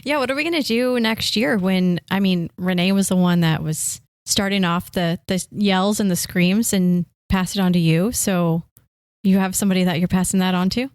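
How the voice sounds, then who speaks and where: Gentle woman interviewer, slightly low voice, dry sound. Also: normal speed, dry recording. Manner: gentle, asks questions. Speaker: podcast co-host. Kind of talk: casual podcast interview.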